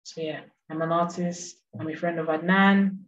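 Low-voiced woman on a distant echoey call. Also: steady tone, moderate pace, highs cut off. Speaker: guest, contemporary photographer. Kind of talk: self-introduction on a podcast.

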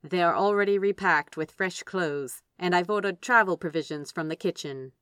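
Woman as a smooth, drawling, disdainful royal sibling. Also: lowish voice, normal speed, fairly bright tone, dry recording, clean studio sound, close to an everyday voice. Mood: smug disdain. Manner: drawling, dismissive. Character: cool, efficient, disdainful younger royal sibling. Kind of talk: multivoice fan audio drama.

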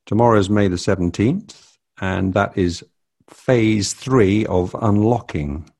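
An older man with a low, gravelly voice hosts a relaxed family podcast. He rambles, hesitates and fills pauses with ums as he talks. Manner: rambling, hesitant with many fillers. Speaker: podcast host. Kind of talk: casual family chat.

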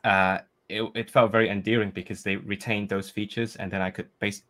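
Podcast guest: Cantonese-native man, lowish voice, thinks aloud, lots of restarts. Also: little pitch movement, a little fast, fairly bright tone. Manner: thoughtful, hesitant with frequent fillers and restarts. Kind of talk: casual conversation.

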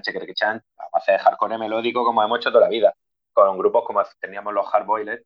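A man from Spain, a guitarist in a punk band, chatting casually and swearing freely in an interview. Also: lowish voice. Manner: relaxed, swears freely, jokey. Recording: dull lo-fi recording.

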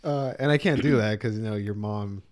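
A young man co-hosting a podcast in casual chat, with a slightly deep, soothing voice, often stumbling and repeating words. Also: fairly quick, darkish tone. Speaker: podcast co-host.

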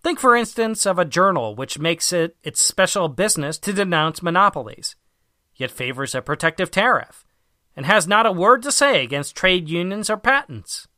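A man reading a formal essay as an audiobook narrator, with a measured, emphatic delivery. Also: high voice, lively intonation, pushed and bright, no echo, studio quality. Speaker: audiobook reader.

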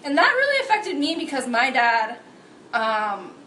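A young woman from San Francisco talking with roommates on a podcast in a shared apartment. She speaks in a hesitant way, full of fillers, with a slightly high voice. The room adds a noticeable echo. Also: big pitch swings, unhurried, sharp tone, a little hiss or hum. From San Francisco, USA. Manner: hesitant, lots of "like" and "um". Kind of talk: casual chat.

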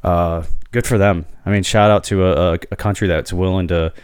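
Low-voiced swearing podcast host. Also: male voice, fairly even intonation, normal speed, a little mellow, clean tone, no echo, studio quality. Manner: swears freely, loose rambling talk. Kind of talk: casual podcast chat.

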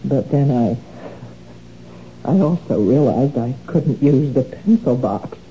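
An actress playing an anxious wife who keeps shoplifting, in a 1970s radio drama with a defensive, pleading manner. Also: female voice, rumbling low, animated, slow, dark tone, a little hiss or hum, exaggerated voice. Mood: reminiscing. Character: anxious woman caught shoplifting again. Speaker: troubled wife. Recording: dull old radio broadcast.

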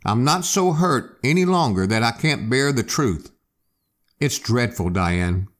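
A man's voice: medium pitch, lively intonation, a little slow, close to an everyday voice. A man voicing a weak, wounded young man in a hospital bed, speaking wearily and earnestly.

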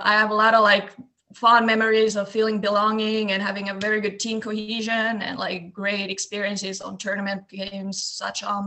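Finnish-accented young woman guest. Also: mid-range voice. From Eastern Finland. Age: 20s. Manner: relaxed storytelling with many fillers. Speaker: guest, basketball coach. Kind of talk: casual podcast interview.

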